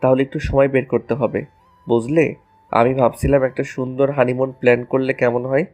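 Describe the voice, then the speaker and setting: A man's voice: mid-range voice, level tone, moderate pace, darkish tone, soft background music, close to an everyday voice. A man playing a playful, romantic young husband in an audio love story, teasing and tender.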